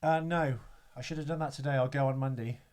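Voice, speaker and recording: A man's voice: mid-range voice, steady tone, fast, a little mellow, clear, resonant tone. A man hosting a podcast in casual chat, telling things in a rambling, wryly understated way. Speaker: podcast host.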